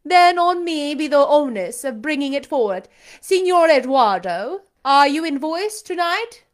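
A woman's voice: high-pitched, lively intonation, unhurried, voice pushed up. A woman reading aloud, voicing a proud, scornful young aristocratic lady who talks in an imperious, showy way. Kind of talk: audiobook character reading.

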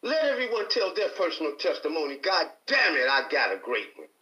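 A man's voice: a little high, huge pitch swings, brisk, sharp tone, roomy sound, highs cut off. A man from Detroit giving an emphatic, preacher-like testimony in a heated debate. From Detroit, Michigan. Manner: emphatic, preacher-like, repeats for stress. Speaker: panel guest.

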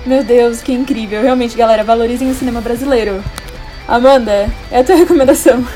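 A woman's voice: fairly high pitch, a little fast, mellow, faint music behind, low-quality recording. A young woman with a Brazilian accent hosting a casual podcast in an enthusiastic, slangy way. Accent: Brazilian Portuguese. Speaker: podcast host.

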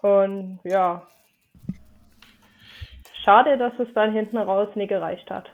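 A woman's voice: medium pitch, slow. A woman from Dresden with a light Saxon accent, chatting as a podcast guest in a friendly, hesitant way with many fillers. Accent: Saxon German. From Dresden, Germany. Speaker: podcast guest, football fan. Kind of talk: casual podcast chat. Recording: tinny phone-like line.

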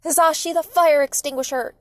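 Woman acting desperate, defiant mother, high-pitched. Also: steady tone, fairly quick, no room sound, clean studio sound, close to an everyday voice. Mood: panicked yelling. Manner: emphatic, pleading yelling. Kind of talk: dramatic fan fiction reading.

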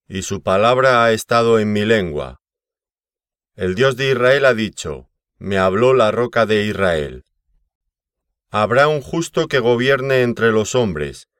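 A man reading scripture aloud as scripted narration, in a solemn, measured way with deliberate phrasing. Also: on the low side, clean tone, dry recording, clean studio sound. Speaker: Bible reader.

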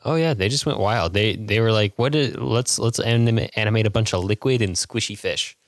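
A man's voice: lowish voice, fairly quick, no echo, studio quality. A man co-hosting a podcast in casual film discussion. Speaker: podcast host.

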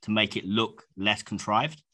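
Man, bright voice, stuffed up with a cold, rambling podcast co-host. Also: fairly low pitch, unhurried. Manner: rambling, many restarts and fillers. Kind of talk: casual podcast chat.

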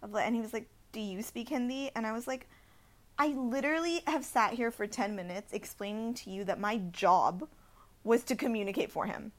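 A woman's voice: a little high, fairly quick. Chatty young woman telling a story. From India. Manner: animated storytelling, many "like" fillers. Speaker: podcast co-host. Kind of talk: casual chat.

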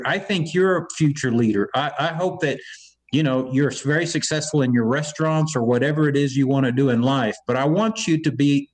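A man from Cuba speaking emphatically about politics on a video call, often restarting his words. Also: medium pitch. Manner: emphatic, repeats words when starting. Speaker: political guest. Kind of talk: impassioned political talk.

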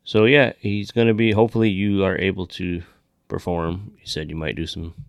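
Very deep-voiced man hosting a podcast. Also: level tone, normal speed, gravelly, no echo, studio quality. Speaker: podcast host. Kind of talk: casual show chat and announcements.

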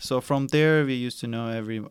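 A young man, a guest on a podcast, talking in a casual, halting way and searching for words as he goes. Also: medium pitch, smooth, no room sound, clean studio sound. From Pakistan. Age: young adult. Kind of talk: casual conversation. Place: podcast studio.

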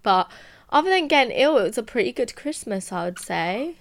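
A woman's voice: fairly high pitch, big pitch swings, brisk, creaky. A young woman, a podcast co-host, chatting casually and telling a story with lots of "like" fillers. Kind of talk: casual chat.

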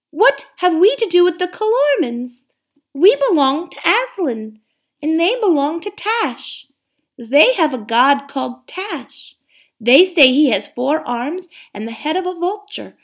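A woman reading aloud, voicing a very young, timid male lamb with a high, thin, pleading voice. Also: very animated, a little slow, heavily acted. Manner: pleading, hesitant.

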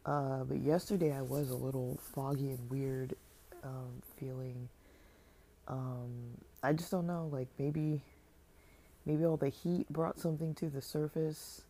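A woman vlogger talks in a loose, meandering monologue, stopping and restarting her thoughts. Her voice has a gravelly fry and a mellow, darker tone. She sounds a little stuffy and worn out. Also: extremely low pitch, fairly even intonation, unhurried. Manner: hesitant and rambling, many fillers and restarts. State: slightly stuffy, tired. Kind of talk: casual vlog monologue.

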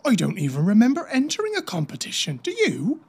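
An actor playing a sweet, excitable young male raccoon in an audio drama, with a hesitant, stammering manner. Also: high voice, fast talker, a little hiss or hum, exaggerated voice. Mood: surprised, eager.

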